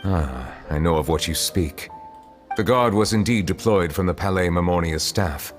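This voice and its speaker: A man voicing a formal, solemn, ancient chief justice in a video game, speaking in a measured, restrained way. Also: low voice, long reverb tail, soft background music, natural voice. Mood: calm.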